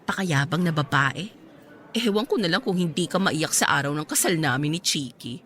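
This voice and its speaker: An actress playing an insistent, scheming bride who coaxes and pleads, in an emotional radio drama style. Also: female voice, deep voice, lively intonation, normal speed. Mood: spiteful. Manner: coaxing, wheedling persistence. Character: insistent, scheming bride, pleading with her resentful half-sister.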